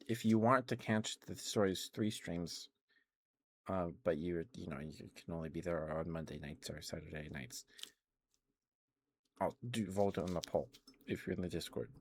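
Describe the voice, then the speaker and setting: A man's voice: low-pitched, steady tone, a little slow, pauses often, a little mellow. A man streaming ASMR, chatting casually in a rambling, hesitant way into a close microphone. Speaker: live streamer. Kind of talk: ASMR, casual rambling chat to live viewers.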